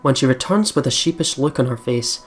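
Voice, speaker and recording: Casting: informal, chatty game commentator with a middle-pitched voice, swears freely, reads text aloud, soft music behind. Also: animated, a little fast. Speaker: let's play host. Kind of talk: casual game commentary mixed with reading aloud.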